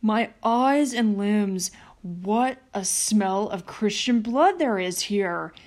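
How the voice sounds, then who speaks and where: A woman voicing a huge, heartless male giant who roars and growls his lines slowly. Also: mid-range voice, lively intonation, darkish tone. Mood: suspicious.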